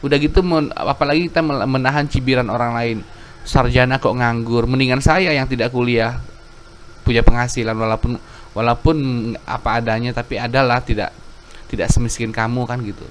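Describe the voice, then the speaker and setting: A man in his thirties tells his story earnestly, rambling and correcting himself as he goes. His voice sits at an ordinary middle pitch. A faint hiss runs under the recording. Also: steady tone, brisk. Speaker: YouTube religious storyteller. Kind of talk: reading a viewer's letter, then personal testimony.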